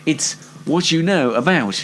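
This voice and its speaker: Theatrical man presenter with very lively pitch. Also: a little slow. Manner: playfully theatrical, mock-dramatic. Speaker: host of an online variety show. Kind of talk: chatty scripted-style presenting with ad-libs.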